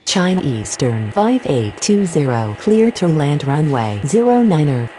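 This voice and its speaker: A woman acts a female air traffic radio voice in a game. Her pitch is low with a lively, moving contour. She delivers set phraseology over clear background noise. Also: a little slow. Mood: procedural. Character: female airline pilot or controller on radio.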